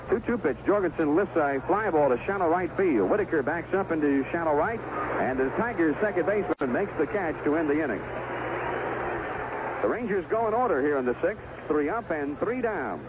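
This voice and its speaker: Male radio baseball play-by-play announcer, animated delivery, narrow phone-like band with clear background noise. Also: on the high side. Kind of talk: live radio baseball commentary. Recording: narrowband noisy 1970s AM radio broadcast.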